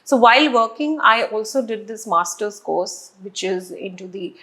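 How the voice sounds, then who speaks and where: A woman in her thirties with an Indian accent, a brand founder answering interview questions, mixing English and Hindi and pausing often on fillers. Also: mid-range voice, expressive, sharp tone. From Kuwait. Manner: hesitant with many fillers, laughs often. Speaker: guest, hair care brand founder.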